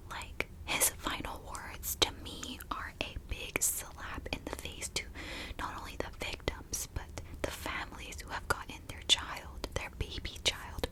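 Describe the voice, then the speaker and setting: Female ASMR host, fully whispered, unhurried delivery, conversational and confiding, as if chatting to followers. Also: rough recording. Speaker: ASMR host telling true crime while doing makeup.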